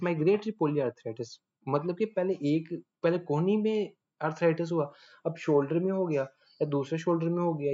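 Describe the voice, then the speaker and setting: A man giving a casual medical lecture, often pausing to check that listeners follow. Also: fairly high pitch, dark tone. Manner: chatty, checks understanding often. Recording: dull lo-fi audio.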